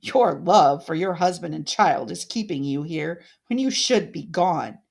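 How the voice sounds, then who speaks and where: A woman voicing a cruel, gloating witch villainess in a fairytale, speaking slowly and with menace. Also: on the low side, expressive, vocal fry, a little mellow, voice pushed down, heavily acted. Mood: mocking pity. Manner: slow, menacing, cackling. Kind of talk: dramatic fairytale storytelling.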